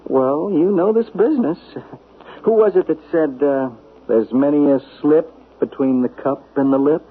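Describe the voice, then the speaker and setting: A man's voice: fairly high pitch, measured pace, slightly rough. An actor playing a nervous, evasive male friend who hesitates and stammers. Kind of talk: 1970s radio drama acting. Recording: tinny old radio broadcast with faint hiss.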